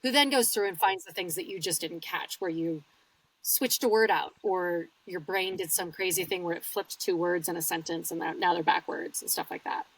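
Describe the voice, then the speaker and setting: A woman's voice: fairly low pitch, normal speed, recorded from a distance, rough recording. A woman audiobook narrator, talking casually and explaining her work in an interview, laughing easily. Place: home studio.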